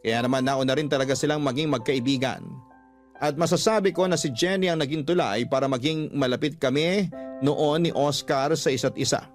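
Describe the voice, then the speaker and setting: A man's voice: a little high, a little fast, faint music behind. A man reading a first-person confessional letter as scripted radio-style storytelling.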